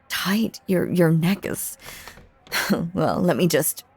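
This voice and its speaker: A woman's voice: medium pitch, darkish tone, husky, exaggerated voice. A woman playing a possessive, sinister stage magician mistress in an audio roleplay, theatrical and full of silky menace. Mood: flustered. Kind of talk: dramatic audio roleplay acting.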